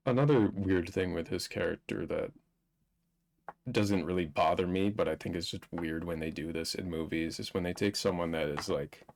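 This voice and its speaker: Man, low voice, livestream co-host, casual chat, movie discussion. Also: normal speed, vocal fry, darkish tone, a little hiss or hum. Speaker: stream co-host reviewing a movie.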